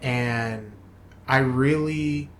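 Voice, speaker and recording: A man with a middle-pitched voice speaks at an unhurried pace, loose and casual. He trails off and restarts his sentences as he thinks out loud. A faint hiss sits under the recording. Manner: slow, laid-back, trails off and restarts. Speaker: podcast co-host. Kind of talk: casual chat reviewing a movie.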